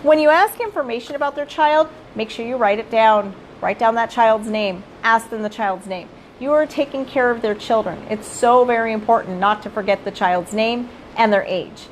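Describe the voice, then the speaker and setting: A middle-aged woman with a fairly high voice, hoarse from losing her voice, talks through business tips for child care owners. Her manner is chatty and informal, and she often ends points with a quick "right?" to her viewers. Faint background noise sits under her voice. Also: low-quality recording. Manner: chatty, addresses viewers as "you guys", often tags "right?". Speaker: child care center director and coach. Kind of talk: live-streamed professional advice talk.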